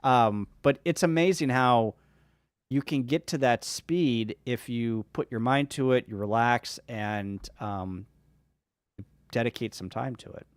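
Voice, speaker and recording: A man's voice: medium pitch, unhurried, clear, resonant tone, no echo, studio quality. A man joining a casual livestream chat as a panelist, talking enthusiastically and rambling with many fillers.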